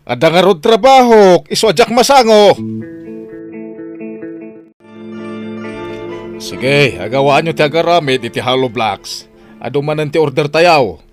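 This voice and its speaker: A man's voice: on the high side, animated, moderate pace, soft background music, close to an everyday voice. Man acting a stubborn, grumbling Filipino husband. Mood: irritated, stubborn. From Philippines. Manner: dismissive, grumbling, exclaims. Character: stubborn money-minded husband and father running a hollow block business. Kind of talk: Philippine radio drama acting.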